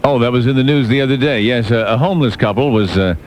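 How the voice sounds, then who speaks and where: A man's voice: mid-range voice, fast talker, slight background noise. A man hosting a radio show, bantering comically with a caller in theatrical, slangy phrases. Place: radio studio.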